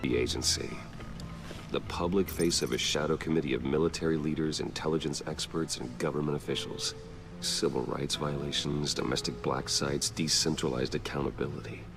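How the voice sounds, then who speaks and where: An actor playing a grim, resolute masked vigilante detective in a video game, terse and serious with a gravelly voice. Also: male voice, extremely low pitch, steady tone, moderate pace. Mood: grim, focused. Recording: music behind the voice.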